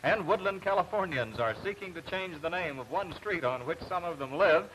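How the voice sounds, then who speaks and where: An American man anchoring a television news report, reading scripted news in a formal, measured 1950s announcer style. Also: on the high side, animated, fairly bright tone. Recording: noisy 1950s television broadcast recording.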